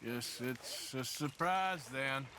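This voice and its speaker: A man playing a gruff, sardonic American outlaw cowboy in a video game, drawling, dry and blunt. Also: medium pitch, takes it slowly, bright, forceful tone, a little hiss or hum. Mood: dry, casual.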